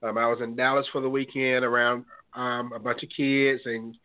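A man hosting a call-in radio talk show, speaking in a measured, earnest way with some fillers and sounding a little stuffed up from a cold. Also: medium pitch, little pitch movement. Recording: tinny phone-line sound.

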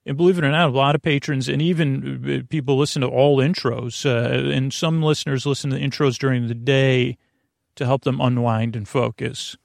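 A man hosting a sleep podcast, rambling informally through an introduction in a halting, meandering way, often repeating himself and trailing off mid-sentence. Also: medium pitch, fairly even intonation, vocal fry, no room sound, clean studio sound. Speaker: podcast host.

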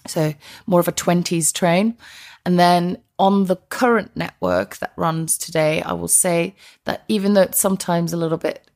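A woman's voice: fairly low pitch, steady tone, normal speed, a little mellow. A woman from Iceland, a transport historian, speaking English as an enthusiastic, reflective guest in a podcast interview.

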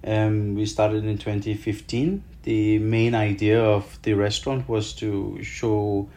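Low-voiced Malaysian man, explaining haltingly. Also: flat delivery, mellow. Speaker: interview guest, chef. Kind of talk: conversational interview answers.

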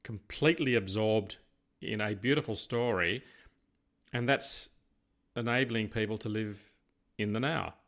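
A middle-aged Australian man chatting reflectively as a podcast co-host, telling things in a rambling, unhurried way. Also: fairly low pitch, a bit bright. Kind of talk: reflective conversational chat.

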